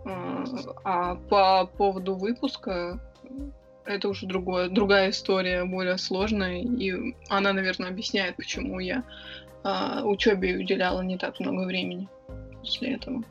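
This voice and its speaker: A woman's voice: fairly low pitch, little pitch movement, normal speed, lo-fi sound, soft background music. A young woman, a writer and club organizer, talking casually as an interview guest, chatty and full of hesitation sounds. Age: young adult.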